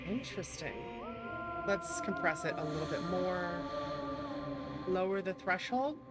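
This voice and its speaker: A woman's voice: on the low side, animated, a little slow, over loud music. A woman hosting a livestream in a casual, chatty and playful way. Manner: playful, chatty, thanks and greets viewers. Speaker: livestream host, VTuber.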